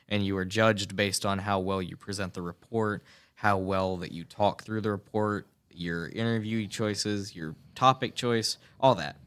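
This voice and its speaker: A man's voice: on the low side, a bit bright, clean tone. A teenage boy, a student guest on a radio talk show, talking earnestly in a rambling way with many fillers and restarts in a small studio booth. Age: teens. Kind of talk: radio interview, earnest and chatty.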